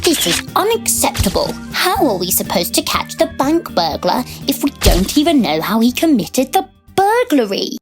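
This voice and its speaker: An exasperated elephant inspector in a kids' cartoon. The voice is high and commanding, set over clear background music. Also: female voice, very animated, normal speed, hardly any pauses. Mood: stern, exasperated. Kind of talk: children's cartoon voice acting.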